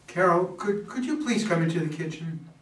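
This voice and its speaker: A man's voice: high-pitched, expressive, moderate pace. A man playing an anxious, wry political aide in a staged play reading. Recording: echoey room, distant, noisy.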